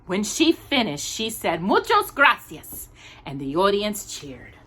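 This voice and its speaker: A woman with a slightly husky voice reads a children's picture book aloud as a storytime host, stressing key words expressively. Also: medium pitch. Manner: animated, emphatic storytelling with pauses on key words.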